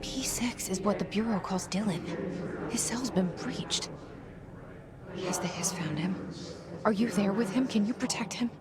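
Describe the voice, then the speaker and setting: A woman's voice: medium pitch, measured pace, long pauses, over a noisy crowd, close to an everyday voice. An actress playing a guarded young woman in a video game, thinking aloud in a dry, understated way. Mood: brooding. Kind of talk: video game inner monologue.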